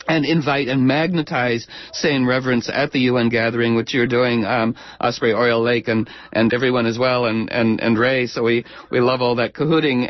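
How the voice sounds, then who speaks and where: A man's voice: mid-range voice, no echo. A man hosting a radio talk show, chatting in a rambling, effusive way with many fillers and restarts. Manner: digressive, full of fillers and restarts. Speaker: radio host. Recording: dull, boxy radio audio.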